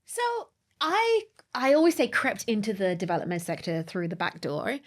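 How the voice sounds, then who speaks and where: A woman's voice: mid-range voice, wildly swooping pitch, moderate pace. A woman co-hosting a podcast, recalling her career in a reflective, conversational way with frequent ums. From United Kingdom. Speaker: podcast co-host.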